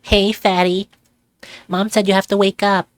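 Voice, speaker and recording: Man putting on a higher-pitched bratty, taunting sibling. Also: level tone, a little slow. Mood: scornful. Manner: blunt, taunting. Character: bratty, blunt, insulting furry sibling at home. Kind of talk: visual novel character voice-over.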